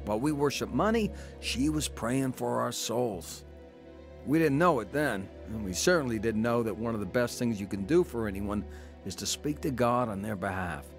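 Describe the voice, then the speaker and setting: A man's voice: mid-range voice, animated, moderate pace, soft background music, natural voice. An actor playing a grown son who narrates his crooked youth and war years in a scripted radio drama, plain-spoken and matter-of-fact. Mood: reflective.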